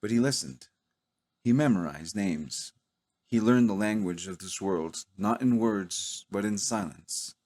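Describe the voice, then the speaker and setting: Male narrator for dramatic audio storytelling: solemn, slightly husky, unhurried, measured pauses. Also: on the low side, a little mellow. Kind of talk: slow cinematic scripted narration.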